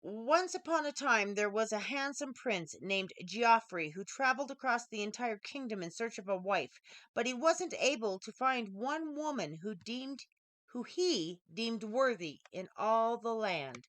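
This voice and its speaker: A woman reading a scripted fairy tale aloud as a storyteller, with deliberate, dramatic phrasing. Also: fairly high pitch, animated, fairly bright tone, dry recording. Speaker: author reading her own story.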